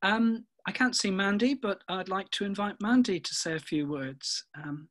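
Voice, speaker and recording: A man hosting a poetry festival panel, polite and gently halting as he introduces each guest. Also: high voice. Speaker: festival host. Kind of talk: chairing a panel.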